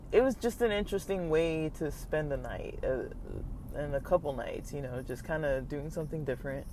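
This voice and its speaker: A man's voice: fairly high pitch, a little mellow. A young man talking casually in a personal vlog, hesitant and rambling with many fillers, his throat slightly scratchy. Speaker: vlogger.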